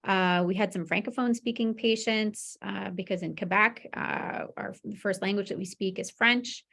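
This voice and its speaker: A woman's voice: mid-range voice, steady tone, moderate pace, creaky, a bit bright. A woman presenting medical research in a webinar, explaining conversationally with informal check-ins. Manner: informal explaining with frequent "you know" and "right?" check-ins.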